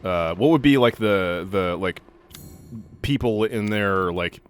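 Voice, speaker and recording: Low-voiced man in his thirties, rambling. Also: animated, slow pace, a little hiss or hum. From California. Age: 30s. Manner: loose and rambling, lots of "like", casual swearing. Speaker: co-host playing a video game. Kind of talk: casual chat while gaming.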